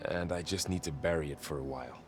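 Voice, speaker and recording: A thoughtful male warrior companion from a video game. His voice is deep and his delivery measured and subdued, with a gentle weariness. Light music sits underneath. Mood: subdued, reflective. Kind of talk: naturalistic video game acting.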